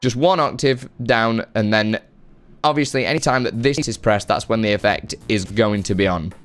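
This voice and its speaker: A man in his thirties hosting a casual, chatty software tutorial at a close desk microphone indoors. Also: lowish voice, moderate pace, soft background music. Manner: chatty, informal. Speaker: tutorial host. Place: home room with guitars, indoors. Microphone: close desk microphone on boom arm.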